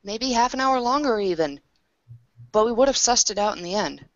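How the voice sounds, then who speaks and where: A woman's voice: on the high side, animated, moderate pace, no room sound, muffled recording, voice pushed up, lightly acted. A woman playing a suspicious, blunt and protective young woman in a tabletop roleplaying game. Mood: stern, upset.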